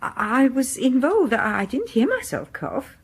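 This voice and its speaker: A woman's voice: high voice, big pitch swings, normal speed, full character voice. An actress playing a shy, devoted classical music fan in a radio horror drama, a genteel, anxious British woman who speaks in a hesitant, polite, confiding way. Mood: flustered. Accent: British English. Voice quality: breathy.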